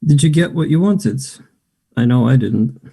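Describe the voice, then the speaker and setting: A pushy young man from a stage play played by a man at a table read, with a husky, gravelly edge. His tone is mellow and low-key, but he presses and needles like a spurned lover. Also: expressive, moderate pace. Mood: bitter, sarcastic.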